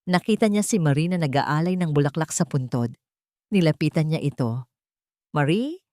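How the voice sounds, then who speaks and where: A woman's voice: deep voice, fairly quick, dark tone, dry recording, studio quality. A woman with a Filipino accent reading a scripted dramatic story as an audiobook narrator.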